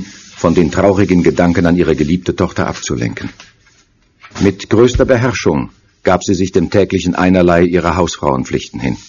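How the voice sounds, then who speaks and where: Male narrator for audio thriller, low voice, scripted dramatic delivery, slightly muffled with faint noise. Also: darkish tone. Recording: dull sound with faint noise.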